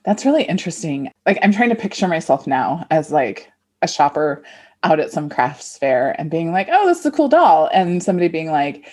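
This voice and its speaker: Casting: woman, 40s, somewhat low voice, expressive, informal podcast co-host with an anecdotal style. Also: a little fast. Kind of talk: casual chat.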